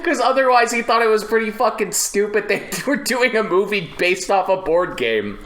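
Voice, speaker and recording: A man chatting casually as a podcast co-host, telling trivia and anecdotes in a sweary, animated way. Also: high-pitched, moderate pace.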